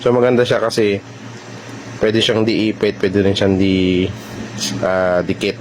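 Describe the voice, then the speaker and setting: A man's voice: on the low side, flat delivery, slow pace, slight background noise, rough recording. A man with a Filipino accent narrating a casual product demo vlog, talking informally and directly to viewers. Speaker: vlogger presenting a product installation.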